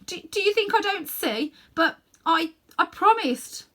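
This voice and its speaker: A woman voicing an earnest, troubled schoolboy in a storybook, halting and indignant. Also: very high voice, animated, a bit bright, pitched above the performer's own voice, full character voice. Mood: miserable, defiant. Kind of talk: children's storybook character voice.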